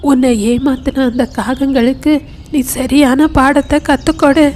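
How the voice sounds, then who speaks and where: A woman's voice: high-pitched, steady tone, measured pace, darkish tone, slight background noise. A woman voicing a caring, wise old grandmother owl in a children's cartoon. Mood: advising.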